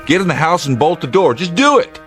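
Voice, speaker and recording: An actor playing a steady, plain-spoken state trooper in a radio drama, measured and dry in manner. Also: male voice, on the high side, soft background music. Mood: urgent.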